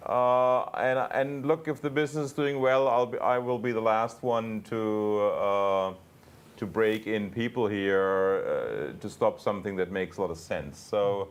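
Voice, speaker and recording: A man speaking as a company executive, answering investor questions off the cuff in a halting, emphatic way with repeated words. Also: lowish voice, steady tone, clean tone. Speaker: company executive on an earnings call.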